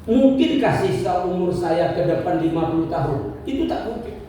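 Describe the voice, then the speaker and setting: A man's voice: high-pitched, lively intonation, moderate pace, dark tone, a little hiss or hum. A man in his fifties giving a religious lecture, asking rhetorical questions, repeating his points and joking lightly with the congregation. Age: 50s. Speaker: preacher. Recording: echoey hall from far off.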